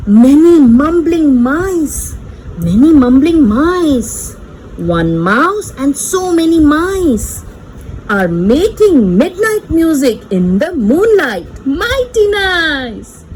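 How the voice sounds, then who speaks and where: Female kids' read-aloud presenter, slow and sing-song, playful teacher delivery, faint noise behind. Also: sits high, very animated, darkish tone, rough recording. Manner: sing-song, playful, teacherly, repeats words and points things out. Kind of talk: animated picture-book reading for small children.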